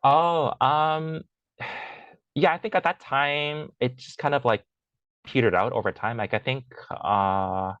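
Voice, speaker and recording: A man's voice: medium pitch, fairly even intonation, slow, dry recording, highs cut off. A man in a casual interview, talking hesitantly with lots of fillers and restarts. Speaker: podcast guest.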